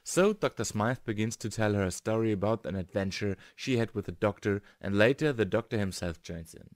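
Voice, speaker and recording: A man's voice: on the low side, brisk, no echo, clean studio sound. A man narrating a scripted review in English as the host. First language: German.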